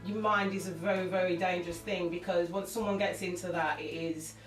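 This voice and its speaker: A woman's voice: fairly low pitch, steady tone, a little fast. Candid woman, echoey distant sound. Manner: open, emotional storyteller, laughs often. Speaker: interview guest, singer and actress. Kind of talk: candid conversational interview. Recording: echoey room, mic far off, music underneath.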